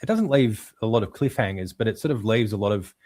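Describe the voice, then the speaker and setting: Low-voiced man, hesitant comics chat. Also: lively intonation, darkish tone, no echo. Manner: halting, full of ums and restarts; enthusiastic. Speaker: podcast co-host. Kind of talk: casual chat about comics.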